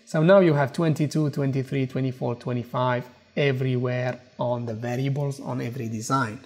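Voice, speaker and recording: Man with a mellow voice explaining software. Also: medium pitch, steady tone, normal speed, soft background music. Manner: explanatory, repeats "okay" and "as you can see". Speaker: tutorial presenter. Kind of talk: screen-recorded software tutorial.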